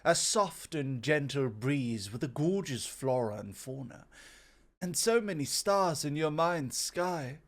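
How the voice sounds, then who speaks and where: A man playing a proud, courtly immortal god of innovation in an ASMR roleplay, with formal, gently teasing speech. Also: a little high, expressive, takes it slowly. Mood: admiring, gentle.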